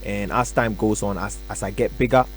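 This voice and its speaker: A young man from Ghana, a musician answering radio interview questions in a relaxed, soft-spoken way with many fillers. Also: on the low side, brisk, faint music behind. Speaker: musician guest. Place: radio studio.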